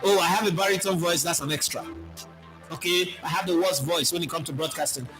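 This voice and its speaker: A Nigerian man speaking as a football pundit, answering viewer questions in an animated, preachy way and mixing in pidgin. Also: fairly high pitch, a little fast, over music. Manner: animated, preachy, lists players, mixes in pidgin.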